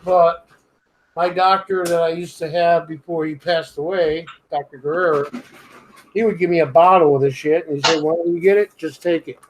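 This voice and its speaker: A man with a slight Brooklyn-ish accent grumbles bluntly and swears freely in casual chat. His voice sits a bit high, with faint noise behind it. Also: fairly even intonation, moderate pace, low-quality recording. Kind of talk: casual late-night chat.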